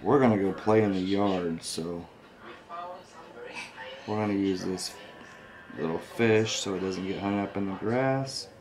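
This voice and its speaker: A man's voice: low voice, a little slow, pauses often, music in the background. A man from Southern Illinois, a father filming a home video, explaining a toy fishing pole and coaching his child step by step in a casual, patient way.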